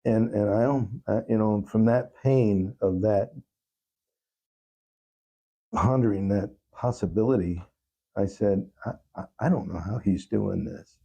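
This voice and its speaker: A man speaking as an interview guest, telling personal stories in a reflective, halting and earnest way. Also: fairly low pitch, vocal fry, mellow, husky, low-quality recording. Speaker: talk show guest, songwriter.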